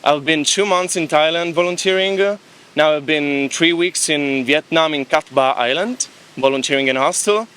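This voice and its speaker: A man's voice: on the high side, normal speed, bright, forceful tone, slight background noise. A young man with an Italian accent chatting easily in a beach interview about his travels. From Italy. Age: young adult. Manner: chatty, easygoing, laughs between thoughts. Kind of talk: casual street interview. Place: beach, outdoors.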